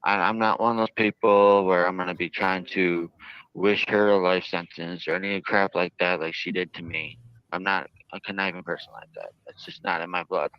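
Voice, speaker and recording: A man giving unscripted interview answers in a halting, earnest, wordy way, often repeating himself, on muffled audio. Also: low-pitched, smooth, no room sound. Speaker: jailed interviewee. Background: played within a live stream.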